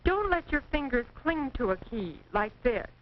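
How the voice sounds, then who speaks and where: A dull 1940s film soundtrack with faint noise carries a high-pitched American woman's voice. She narrates as a typing instructor, reading her script with precise, measured enunciation in the formal style of a wartime instructional film. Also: sing-song.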